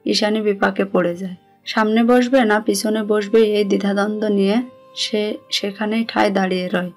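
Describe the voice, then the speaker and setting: Even-toned Bangladeshi woman narrating over music. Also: mid-range voice, moderate pace. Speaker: audio story narrator. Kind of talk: scripted narration of a romantic story. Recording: music bed behind the voice.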